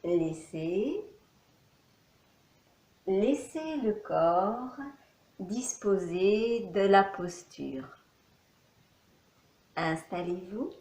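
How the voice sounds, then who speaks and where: Very slow, calm woman guiding relaxation. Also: medium pitch, echoey room. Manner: slow, soft, calm instructions with long pauses. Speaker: yoga nidra teacher. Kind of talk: guided relaxation.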